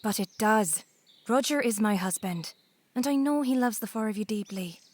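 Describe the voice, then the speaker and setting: A woman playing an earnest young new wife in an audio drama, gentle and polite but persistent. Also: mid-range voice, lively intonation, moderate pace, no echo, lightly acted. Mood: concerned, pleading. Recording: faint noise, birdsong behind the voice.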